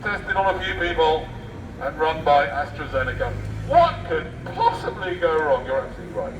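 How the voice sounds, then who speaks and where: A man's voice: a little high, big pitch swings, moderate pace, dull audio. An English man reading a newspaper aloud in the street with sarcastic, declamatory commentary and muttered asides. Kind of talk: satirical public read-aloud with ad-libbed commentary. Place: outdoor public street. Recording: distant mic outdoors with faint traffic noise.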